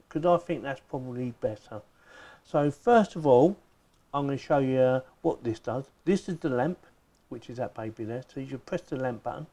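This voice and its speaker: A man's voice: medium pitch, dark tone. A man giving a casual, chatty unboxing and product demonstration, thinking aloud informally as he goes. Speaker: product reviewer.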